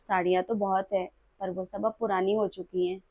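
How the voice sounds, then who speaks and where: A woman voicing a gentle young daughter-in-law in a family drama skit, polite and soft-spoken. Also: mid-range voice, flat delivery, fairly quick, no echo, lightly acted. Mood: meek.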